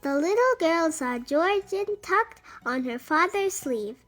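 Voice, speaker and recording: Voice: very high-pitched, lively intonation, slow, a bit bright, soft background music. A storybook reader narrating a picture book expressively for young children.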